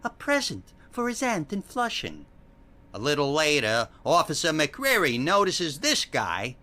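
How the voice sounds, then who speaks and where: A blustering, officious police lieutenant acted by a man from an old-time radio play. The voice is high for a man, sharp and forceful, with animated swings as it bosses people around. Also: measured pace, heavily acted. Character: gruff, bossy, impatient police lieutenant.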